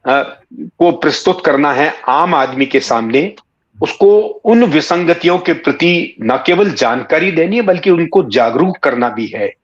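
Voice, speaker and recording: A man in his sixties answering in a reflective interview, explaining in literary words and pausing mid-sentence to find the next one. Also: fairly high pitch, expressive, highs cut off. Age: 60s. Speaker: interview guest, satirist and writer.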